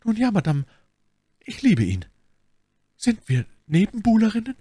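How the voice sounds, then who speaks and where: A man's voice: high-pitched, huge pitch swings, unhurried, mellow, breathy edge, dry recording, pitched above the performer's own voice, heavily acted. A man voicing an earnest, agitated young female novice in an audiobook. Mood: agitated, jealous. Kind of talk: dramatic audiobook character voice.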